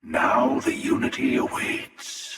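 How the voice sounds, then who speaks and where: An actor playing a ruthless, world-weary, ancient hunter from space in a video game, taunting and sardonic in manner. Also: male voice, very high-pitched, wildly swooping pitch, takes it slowly, creaky, fairly bright tone, soft background music, full character voice. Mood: cold, menacing. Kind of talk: video game character acting. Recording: roomy, distant, long reverberant echo.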